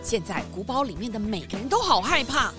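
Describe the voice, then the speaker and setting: A woman's voice: fairly high pitch, big pitch swings, brisk, over music. A woman narrating a scripted children's mystery story.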